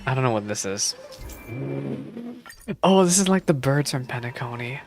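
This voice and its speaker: A casual streamer reacts to a game in a medium-pitched voice. The talk is slangy and exclamatory, drifting off into rambling asides, while game music plays underneath. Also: expressive, normal speed, darkish tone. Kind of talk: casual gameplay reaction commentary. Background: game music and dialogue.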